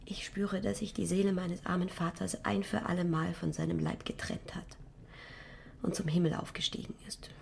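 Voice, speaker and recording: Wary mourning daughter, creaky voice. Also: female voice, on the low side, flat delivery, normal speed, darkish tone, a little hiss or hum. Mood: fearful, insistent. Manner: secretive, often near whispering, then insistent. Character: Sheküre, wary, commanding young widowed daughter in mourning. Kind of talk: German radio play acting.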